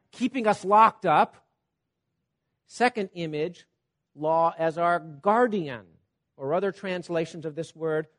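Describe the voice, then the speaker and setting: High-voiced preacher man, swinging loud and soft. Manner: animated, wide swings between loud emphasis and soft asides; repeats "Okay?" to check on listeners. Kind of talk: sermon.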